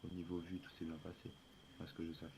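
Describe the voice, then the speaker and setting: A man's voice: deep voice, monotone, fast talker, darkish tone. A man playing a self-assured, argumentative policeman in online game roleplay, explaining insistently over a noisy voice chat. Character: insistent, self-assured male policeman defending his judgement.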